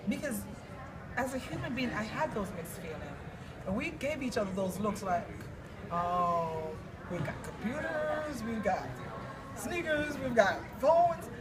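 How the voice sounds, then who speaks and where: A woman from Jamaica, an interview guest, telling her story with expressive, animated delivery. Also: on the high side, measured pace, background noise. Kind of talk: emotional personal testimony. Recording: distant mic with loud crowd behind.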